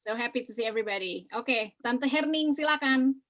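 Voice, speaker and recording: A woman's voice: fairly high pitch, a little fast, a bit bright. A woman hosting a video call, cheerfully calling on each guest by name. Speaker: meeting host.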